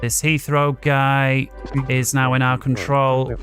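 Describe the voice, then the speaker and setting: A man's voice: medium pitch, flat delivery, slow. Man thinking aloud over game music. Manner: thinking aloud while playing. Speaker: gaming video host. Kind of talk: casual gameplay commentary.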